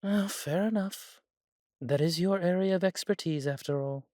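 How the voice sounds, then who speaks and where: A man playing a warm, humble elf brother in a scripted fantasy audio roleplay, speaking in measured, slightly formal phrases. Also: sits high, no room sound, clean studio sound, close to an everyday voice. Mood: easygoing. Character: warm, humble elf brother without magic, devoted to family.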